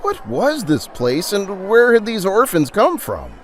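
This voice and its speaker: A man narrating a dramatic audio story in a theatrical, deliberate and suspenseful way. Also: high-pitched, wildly swooping pitch, a little hiss or hum. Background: music.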